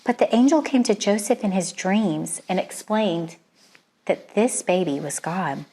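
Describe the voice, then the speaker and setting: Female storyteller, mid-pitched voice, expressive children's read-aloud with deliberate phrasing.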